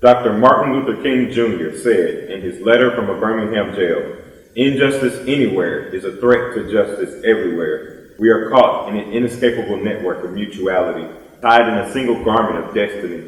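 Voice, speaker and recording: A man's voice: fairly low pitch, moderate pace, distant mic, close to an everyday voice. A man performing an impassioned student in a rehearsed readers theater piece, declaiming emphatically against hatred. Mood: solemn. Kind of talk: rehearsed dramatic group recitation. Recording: echoey hall, picked up from afar.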